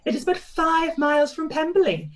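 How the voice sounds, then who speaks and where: A warm, gushing aunt, voiced by an actress, her voice high and very lively in its pitch. She talks expressively in a live-read radio play. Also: normal speed. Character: warm middle-aged aunt, fond of home.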